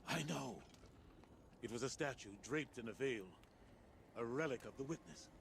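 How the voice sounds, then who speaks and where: A man's voice: mid-range voice, moderate pace, vocal fry, fairly bright tone, a little hiss or hum. A man playing a grieving, stubborn military commander in a video game, grave and blunt in delivery. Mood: grim. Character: grim, grieving older military commander, male, bitter and stubborn. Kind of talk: dramatic video game dialogue.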